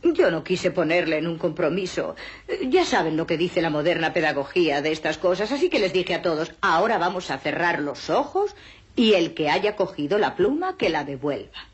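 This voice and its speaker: An actress playing a prim, earnest schoolteacher in a film mock interview. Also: female voice, lowish voice, big pitch swings, moderate pace, breathy edge, highs cut off, full character voice. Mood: prim reminiscing. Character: prim, earnest female schoolteacher recalling a pupil.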